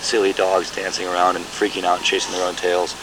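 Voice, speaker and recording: A man from Michigan, a musician, answering interview questions in a reflective, halting way with many restarts. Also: low-pitched, completely flat delivery, noisy background.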